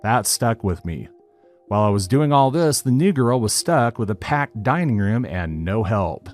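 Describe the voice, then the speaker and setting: A man narrating a written online story in a steady, even reading style. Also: on the low side, normal speed. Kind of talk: scripted narration of a reddit post. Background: faint background music.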